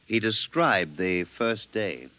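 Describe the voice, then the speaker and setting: Low-voiced, brisk-toned clinical psychiatrist. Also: male voice, expressive, pushed and bright, full character voice. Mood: clinical, official. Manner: formal, measured dictation, probing. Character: clinical, authoritative male senior psychiatrist, composed. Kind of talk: 1950s radio drama acting.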